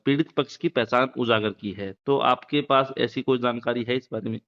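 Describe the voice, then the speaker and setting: Male news interviewer, smooth tone, roundabout and hesitant questioning, lo-fi call audio. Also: mid-range voice, steady tone, normal speed. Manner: hesitant, roundabout phrasing with repeated filler phrases. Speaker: interviewer, local news reporter. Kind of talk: phone interview, asking questions. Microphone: phone call. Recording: muffled, lo-fi phone call recording.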